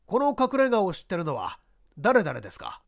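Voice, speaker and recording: A man's voice: sits high, huge pitch swings, normal speed, gravelly, pitched below the performer's own voice, heavily acted. A businesslike police detective voiced by a male reader in a dramatized mystery. He puts short, probing questions and sounds inquisitive and matter-of-fact. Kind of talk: dramatized mystery story reading.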